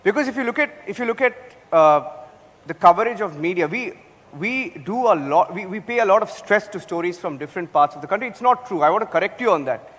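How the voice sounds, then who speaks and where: A man's voice: high-pitched, expressive, a little fast, fairly bright tone. A man from Karnataka, a Telugu speaker, arguing as a news anchor on a live panel, defensive with stammering restarts. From Karnataka, India. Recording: long hall reverb.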